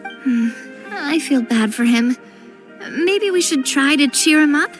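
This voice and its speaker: Chatty, high-voiced young lady. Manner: chatty, expressive, quick to react. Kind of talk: game cutscene dialogue. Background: game music.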